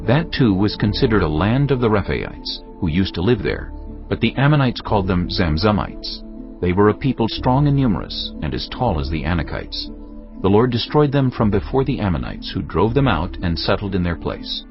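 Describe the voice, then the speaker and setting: Very deep, animated male narrator. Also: normal speed, lo-fi sound, soft background music. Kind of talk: dramatized scripture narration.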